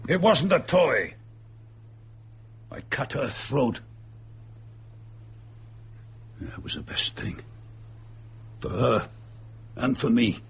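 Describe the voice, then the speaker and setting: A man acting in a radio drama as a gloating, bitter murderer and impostor, with a husky, gravelly voice and a sneering, darkly amused manner. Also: mid-range voice, very animated, slow, long pauses, slight background noise, full character voice. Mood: bitter, cold.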